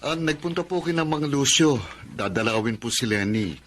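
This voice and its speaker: A man's voice: mid-range voice, lively intonation, moderate pace, a bit bright. A man acting in a radio drama as a respectful young son, deferential and matter-of-fact. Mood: polite, informative. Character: polite, respectful young working son and brother.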